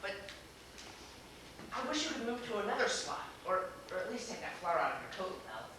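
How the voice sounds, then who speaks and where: A man playing a polite, anxious gentleman in an amateur stage comedy, hesitant and halting, with formal old-fashioned phrasing. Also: high voice, very animated. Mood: nervous, apologetic. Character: flustered, polite, anxious gentleman waiting for a masked date. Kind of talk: amateur stage acting in a comic one-act play. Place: small theater stage, recorded from the audience. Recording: echoey theater, distant camera mic, hissy noise.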